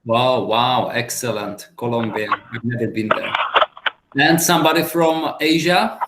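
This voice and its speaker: A man's voice: mid-range voice, noisy background. Czech man presenting on an echoey call. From Czech Republic. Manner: relaxed, chatty, many filler pauses. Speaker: guest speaker. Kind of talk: online webinar presentation. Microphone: video call. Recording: echoey room on a video call.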